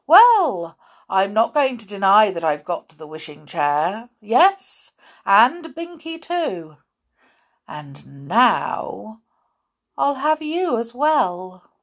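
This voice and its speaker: A woman's voice: medium pitch, sing-song, very slow, voice pushed up, heavily acted. A woman reading aloud and voicing a gloating, cross-eyed male giant who taunts his prisoners. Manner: taunting, gleeful.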